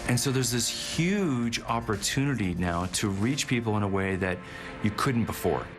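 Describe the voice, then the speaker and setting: Low-voiced man over prominent music. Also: lively intonation, moderate pace. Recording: loud music bed behind the voice. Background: prominent instrumental music with guitar.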